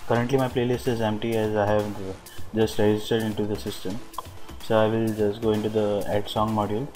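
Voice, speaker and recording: A man with a fairly low voice walks through a piece of software step by step. His delivery is very flat and somewhat halting, as if explaining unscripted. Music plays clearly behind him throughout. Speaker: software demonstrator. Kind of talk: unscripted screen-recorded software walkthrough.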